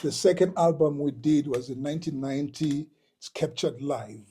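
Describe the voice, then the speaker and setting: Husky older man telling slow stories. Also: a little high, warm tone. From Tanzania. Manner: slow, hesitant, with many uhs and repeats. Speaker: interview guest, musician. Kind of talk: relaxed storytelling.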